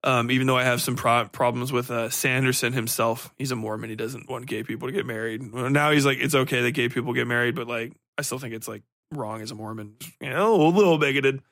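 A man's voice: medium pitch, fast talker, hardly any pauses, a bit bright, no echo, studio quality. A man hosting a book podcast, rambling through a casual review in blunt, irreverent, profanity-heavy slang. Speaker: podcast host reviewing books.

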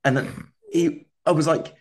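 A man's voice: a little high, fairly even intonation, unhurried. Rambling, laughing podcast storyteller man. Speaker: podcast co-host, comedian. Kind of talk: casual chat.

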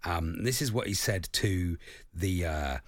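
Male radio co-host, loud voice, lively pitch, chatty and joking. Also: measured pace. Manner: chatty, joking, laughs often. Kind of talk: casual radio banter.

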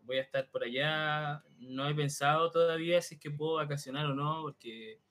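A young man from Chile with a Chilean accent, chatting and explaining slowly with many fillers on a podcast. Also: a little high, steady tone, fairly bright tone. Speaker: podcast co-host. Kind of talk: casual chat.

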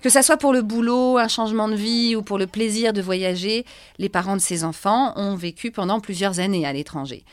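A woman's voice: medium pitch, a little fast, no room sound. Dry-sounding woman podcast host. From France. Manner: conversational, with frequent hesitation fillers. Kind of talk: podcast narration and interviewing.

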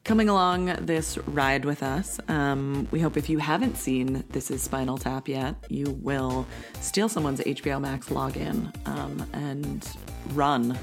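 A woman co-hosting a podcast in casual chat, with a deeper voice for a woman, relaxed and easy. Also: measured pace, vocal fry, soft background music. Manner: relaxed, drawling sign-off with y'all. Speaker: podcast co-host.

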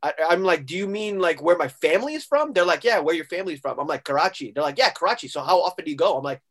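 A lively, slightly high-voiced man from Hong Kong talks casually in an interview. He tells stories with energy and acts out both sides of a conversation. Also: racing. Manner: animated storyteller, acting out quoted exchanges. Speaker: guest musician.

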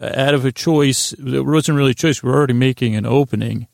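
A man with a gravelly voice hosting a bedtime podcast, rambling in a halting, repetitive way with frequent digressions and self-interruptions. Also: medium pitch, a little mellow, dry recording, clean studio sound. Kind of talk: rambling, meandering bedtime monologue.

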